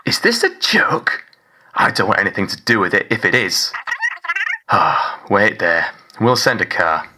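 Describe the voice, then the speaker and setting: A man playing a gruff, sceptical police officer who talks in a brusque, offhand way. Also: fairly low pitch, sing-song, a little slow, background noise.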